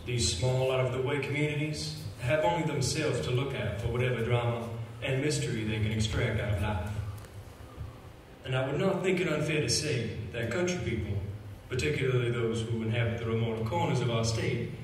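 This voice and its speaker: A condescending, well-spoken city solicitor, acted by a man, musing in a medium-pitched voice. The stage monologue rings through a very echoey hall, picked up from afar. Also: a little fast, natural voice. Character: condescending young city solicitor musing aloud, articulate and superior. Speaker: amateur stage actor. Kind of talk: theatrical monologue. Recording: very echoey hall, distant mic, hiss.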